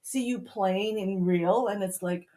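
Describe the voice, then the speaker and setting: Woman, podcast guest, mother, casual chat, cheerful, laughs often, mid pitch. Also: unhurried, mellow.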